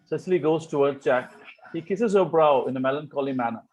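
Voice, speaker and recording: A man's voice: a little high, a little fast, darkish tone, low-quality recording. A man giving scripted narration, reading out stage directions for a play.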